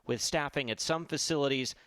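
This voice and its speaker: A man's voice: mid-range voice, brisk, a bit bright. A man narrating a scripted news report in a broadcast reporter's style.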